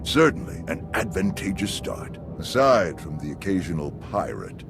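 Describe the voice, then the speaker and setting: A man playing a composed, confident military task force leader in a game cutscene, briefing in a formal, measured way. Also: low voice, big pitch swings, music in the background. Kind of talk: video game cutscene acting.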